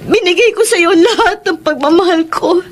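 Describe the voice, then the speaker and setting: High-voiced wounded, accusing wife. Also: female voice, normal speed. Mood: tearful, betrayed. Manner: accusing, emotional, often tearful. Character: hurt, betrayed wife confronting her lying husband. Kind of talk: Filipino radio melodrama acting. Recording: music bed under the dialogue.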